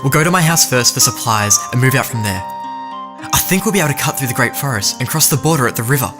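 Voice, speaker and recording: A woman's voice: rumbling low, animated, quick pace, music in the background. A woman playing a brusque, impatient male munchkin guide in an audio drama. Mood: curt. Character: curt, urgent male munchkin rebel guide named Bach.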